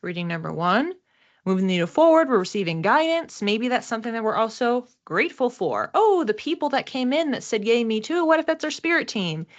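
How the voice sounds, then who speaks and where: A woman's voice: fairly high pitch, big pitch swings, a little fast. Woman in casual explanatory talk, slightly muffled. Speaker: psychic reader, host. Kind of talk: casual explanatory card reading to camera.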